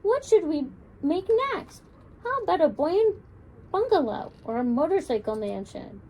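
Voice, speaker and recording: A woman's voice: measured pace, a little hiss or hum, exaggerated voice, low-quality recording. A woman voicing an eager, competitive young girl builder in a storybook reading. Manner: high, excited storybook voice.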